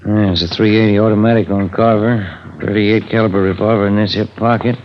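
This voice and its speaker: An actor playing a dry, grumbling police detective in a 1950s radio crime drama, matter-of-fact, on an old recording. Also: male voice, lowish voice, steady tone, a little fast, lightly acted. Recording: dull, faintly noisy old radio recording.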